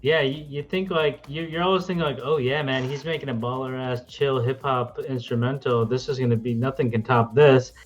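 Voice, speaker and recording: A man with a mid-pitched voice co-hosts a casual livestream. He talks in emphatic, sweary slang. He is on a webcam microphone, with faint music behind him. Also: steady tone, fairly quick, few pauses, dull audio. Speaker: show co-host. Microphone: webcam built-in microphone.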